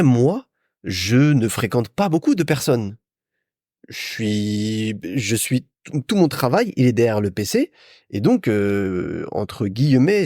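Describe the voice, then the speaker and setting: A man's voice: medium pitch, darkish tone, no room sound, clean studio sound. A young man from France hosting a solo podcast, telling stories in a chatty, informal way as if to a friend. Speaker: podcast host. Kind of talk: casual solo podcast monologue, storytelling.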